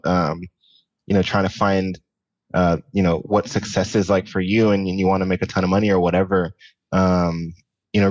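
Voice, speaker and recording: A man's voice: low voice, no echo, muffled recording. A man in his thirties chatting casually and earnestly as a guest in an interview. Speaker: podcast guest, tech business founder.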